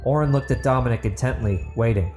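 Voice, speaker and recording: A man's voice: medium pitch, fast, a little mellow, soft background music. A man hosting a fiction podcast, reading his own novel dramatically and chatting to listeners with enthusiasm. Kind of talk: dramatic audiobook narration and casual podcast outro.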